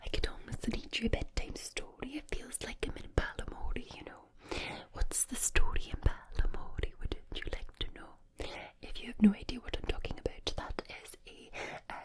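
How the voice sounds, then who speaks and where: A woman voicing a gentle older woman from the Scottish Highlands and Islands in a sing-song ASMR whisper. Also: natural voice.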